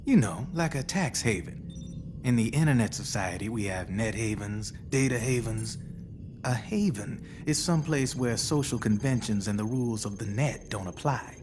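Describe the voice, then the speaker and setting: An actor playing a smug, worldly arms dealer in a video game, explaining things in a cocky, folksy way. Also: male voice, fairly low pitch, lively intonation, normal speed, music in the background, natural voice. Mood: smug, emphatic. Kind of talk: cinematic video game acting, expository dialogue.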